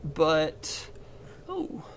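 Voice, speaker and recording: A man giving casual gameplay commentary for a game review, his voice a little raspy and strained, talking in a loose, rambling way. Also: fairly high pitch, huge pitch swings, drawn-out words, fairly bright tone, slight background noise. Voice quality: rambling, with restarts and fillers.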